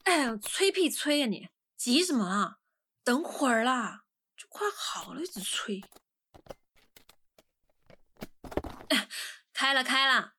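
A woman playing an excitable, impatient young sleuth in an audio drama, with a brash, colloquial, expressive delivery. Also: high voice, unhurried, a little hiss or hum, full character voice. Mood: impatient, hushed.

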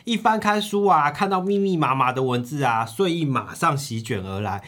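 A man co-hosting a book podcast, narrating from a script like a storyteller with drawn-out phrase endings. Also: on the high side, expressive, fairly bright tone.

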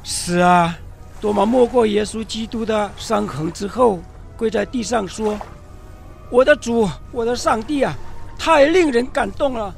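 A man's voice: sits high, a little slow, creaky, faint music behind. An actor playing a plain-spoken, fretful old fisherman in a biblical radio drama, with a theatrical, plaintive delivery. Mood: marvelling.

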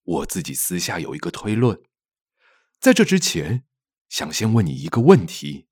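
A man's voice: low-pitched, huge pitch swings, normal speed, darkish tone, breathy edge, full character voice. A man playing a worried, courteous middle-aged father in a radio drama. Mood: gentle, courteous.

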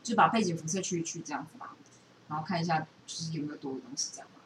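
A woman with a Taiwanese Mandarin accent chatting casually as a podcast co-host, giving animated, opinionated, rambling explanations. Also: sits low, rapid-fire. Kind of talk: casual chat about films and series. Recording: roomy, distant mic with steady noise.

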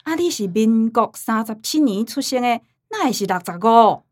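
Showy, gushing Taiwanese influencer mom. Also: female voice, a little high, animated, normal speed, darkish tone, no echo, clean studio sound. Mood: bossy, prompting. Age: middle-aged. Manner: gushing, performs for the camera. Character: showy middle-aged mother, livestreaming influencer, sugary and self-dramatizing. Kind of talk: radio drama acting.

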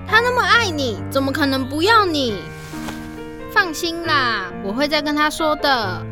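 A woman's voice: sits high, very animated, unhurried, over music. A young woman playing a protective, caring elder sister in an audio drama, coaxing and reassuring. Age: young adult. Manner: gentle coaxing, nagging reassurance.

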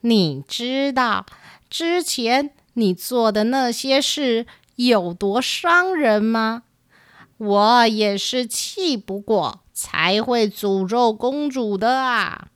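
A woman voicing a brooding dark witch, hurt but reasonable, with a measured, resigned manner in a children's radio play. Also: a little high, lively intonation, no echo, studio quality. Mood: bitter.

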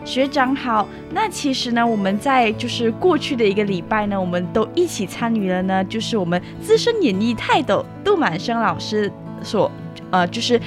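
A young woman hosting a student radio interview in a chatty way, readily acknowledging what is said. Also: medium pitch. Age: young adult. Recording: music bed behind the voice. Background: background music.

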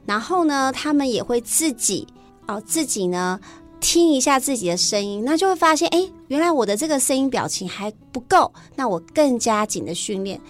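A woman's voice: high-pitched, animated, normal speed, faint music behind. A woman teacher with a Taiwanese Mandarin accent, explaining things conversationally as an interview guest.

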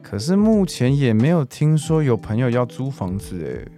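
A man's voice: medium pitch, very animated, moderate pace, mellow, faint music behind, lightly acted. A young man acting in a radio drama as a teasing, earnest man in his forties courting an old friend. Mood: persuasive. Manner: teasing, persuasive.

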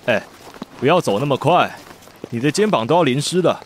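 A man's voice: mid-range voice, lively intonation, a little fast, a little hiss or hum, lightly acted. A man with a Taiwanese Mandarin accent voicing a composed adult private tutor who teases dryly but looks out for his charge. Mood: teasing. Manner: dry, teasing, gently caring. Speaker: voice actor. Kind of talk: amateur radio drama acting.

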